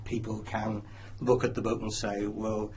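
A man's voice: on the low side, completely flat delivery, unhurried, darkish tone. A man with a Liverpool accent, an interview guest telling stories conversationally and repeating words for emphasis. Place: small community radio studio. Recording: clear background noise, room ambience.

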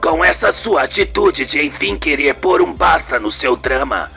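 A performer voicing a supportive, authoritative male TV station boss, measured and reassuring, in a radio soap. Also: medium pitch, animated, vocal fry, sharp tone, faint music behind. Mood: approving.

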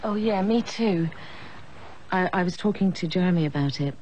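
A middle-aged woman acting a troubled married teacher in a television drama, speaking with an English accent, stammering, breathy and hesitant. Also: fairly low pitch, moderate pace, a little mellow, a little hiss or hum, close to an everyday voice, poor audio. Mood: hushed. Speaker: teacher in a soap opera.

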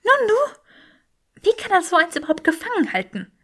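A woman's voice: very high voice, huge pitch swings, normal speed, a bit bright, pitched above the performer's own voice, full character voice. A woman voicing a bookish, flustered young woman in an audiobook, murmuring and easily indignant.

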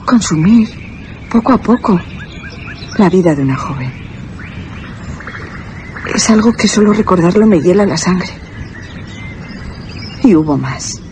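A woman's voice: on the low side, lively intonation, unhurried, pauses often, mellow, a little hiss or hum. An actress playing an innocent, earnest, superstitious young Greek peasant woman in a Spanish radio drama. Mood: fearful, earnest.

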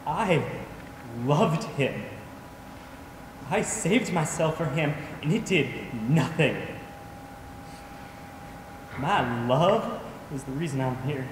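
A young man acting in a stage play as a dying, confused patient, tender and frightened, speaking haltingly. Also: fairly high pitch, expressive. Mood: mournful. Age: young adult. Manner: halting, repeats words. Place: on a theater stage, distant camera. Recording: echoey theater hall from far off, noisy.

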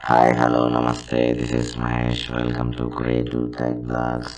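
A man's voice: extremely low pitch, completely flat delivery, drawn-out words, noisy background, voice pushed down, exaggerated voice. A man voicing a robotic space soldier through a voice-changer filter. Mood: stiff greeting. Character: deep robotic galactic soldier.

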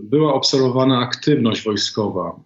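A middle-aged man as an interview guest, giving a measured political analysis in a deliberate way with many hesitant pauses, heard over a video call. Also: mid-range voice, animated. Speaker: guest, former ambassador. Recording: echoey, dull video call audio.

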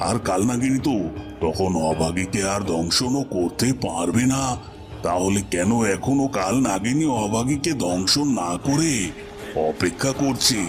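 A man's voice: fairly low pitch, animated, a little slow, over music. A man voicing a scheming, impatient evil sorcerer in a cartoon, with brooding, melodramatic menace. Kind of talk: melodramatic cartoon villain monologue.

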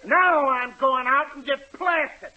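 A man's voice: very high-pitched, unhurried, slight background noise. A man playing a meek, henpecked little husband in a 1930s radio comedy sketch, whiny and nervous, then briefly bossy. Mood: blustering. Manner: whiny, nervous, turns blustering. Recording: muffled 1930s radio broadcast.

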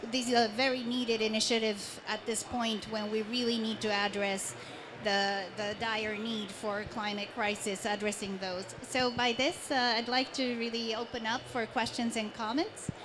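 Woman moderating, hall noise behind. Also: a little high. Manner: polite, slightly hesitant. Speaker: panel moderator. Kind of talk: moderating a panel. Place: large conference pavilion indoors.

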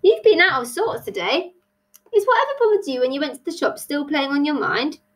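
A woman's voice: high voice, expressive, brisk, pitched above the performer's own voice. A woman voicing a caring, concerned grandmother in a children's story, affectionate in manner.

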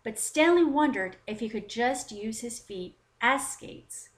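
A woman hosting a children's story time, narrating in an expressive, deliberate read-aloud style. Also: on the high side. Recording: roomy, echoing voice recording.

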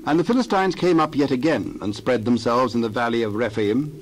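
A male narrator with a medium-pitched voice reads scripture in a measured, formal style. There is faint noise in the recording. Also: poor audio.

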